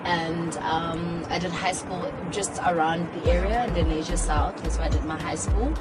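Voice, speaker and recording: A woman's voice: lowish voice, moderate pace, rough recording. A young South African woman, a radio presenter, chatting candidly and emphatically as an interview guest. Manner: lively, candid, laughing, emphatic bursts. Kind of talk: casual interview chat. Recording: loud music bed under voices. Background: loud music.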